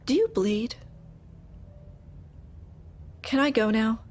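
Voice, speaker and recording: A distressed woman employee with a fairly high voice, in a darkly comic audio drama. She sounds trapped and grieving, blunt and stubbornly insistent. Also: expressive, slow pace, darkish tone. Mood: unsettled, blunt. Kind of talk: audio drama acting, dark comedy.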